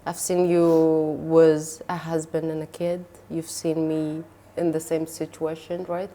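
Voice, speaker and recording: A woman hosting a casual interview, warmly encouraging her guest to talk. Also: low voice, little pitch movement, a little slow, a little hiss or hum. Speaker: host.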